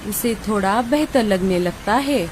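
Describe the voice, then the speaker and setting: Woman narrating a kids cartoon story, real slow, noisy background. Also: mid-range voice, animated.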